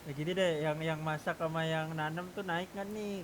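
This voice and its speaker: A man's voice: a little high, steady tone, normal speed. A man leading a casual group discussion in an online game, talking informally. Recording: hissy gamer voice chat.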